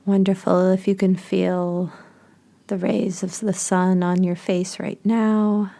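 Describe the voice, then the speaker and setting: A woman guides a meditation in a dark, relaxed voice with plenty of vocal fry. Her delivery is soft and breathy, edging toward a whisper. She moves very slowly, leaving space between gentle, short phrases. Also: medium pitch.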